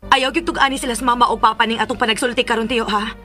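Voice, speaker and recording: A woman's voice: a little high, steady tone, racing, sharp tone, faint music behind. A woman playing a hurt, resolute young woman in a radio drama, speaking firmly. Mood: bitter, resolute.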